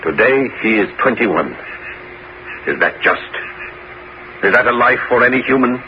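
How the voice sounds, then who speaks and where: An actor playing an aging bishop and former musketeer in a 1970s radio drama, grave and resolute, with theatrical, deliberate, emphatic phrasing. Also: male voice, mid-range voice, lively intonation, natural voice. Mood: grave, insistent. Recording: muffled, noisy 1970s radio broadcast recording.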